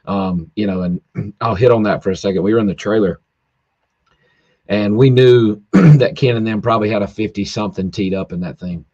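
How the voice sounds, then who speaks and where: A man who races drag cars, hoarse from yelling, talking in a rambling casual way full of fillers and restarts over a call. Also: lowish voice, normal speed, dry recording, muffled recording. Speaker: drag racer guest. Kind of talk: casual podcast chat. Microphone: video call, home internet.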